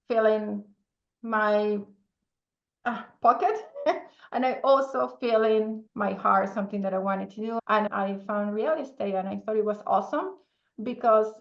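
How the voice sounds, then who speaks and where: A woman's voice: mid-range voice, vocal fry, reverberant, lo-fi sound. A woman from Venezuela with a strong Spanish accent, speaking English as a second language as a guest in a casual interview, often checking in with "okay?" and laughing easily. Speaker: podcast guest, entrepreneur.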